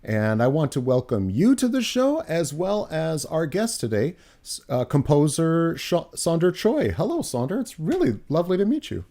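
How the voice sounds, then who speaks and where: A man hosting an interview, talking in a halting way with stammering restarts. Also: on the high side, very animated, warm tone. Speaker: host, choir artistic director. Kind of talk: interview conversation.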